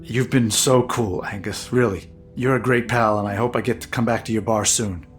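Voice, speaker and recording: An actor playing a laid-back, wisecracking sea captain in an audio drama, talking casually and dryly. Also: male voice, on the low side, fairly even intonation, moderate pace, soft background music, lightly acted. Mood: sincere. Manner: casual, dry, joking. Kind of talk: full-cast audio drama acting.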